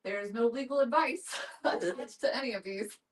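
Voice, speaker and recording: A woman's voice: fairly high pitch, moderate pace. Woman explaining practical details, distant mic. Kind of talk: informative explanation to a group. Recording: distant mic in group discussion.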